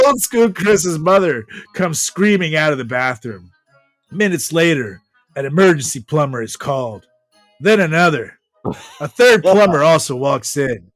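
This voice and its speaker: Male host for comic narration: animated pitch, drawn-out dramatic delivery, music bed beneath. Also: no room sound. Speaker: podcast host. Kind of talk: comedic story narration.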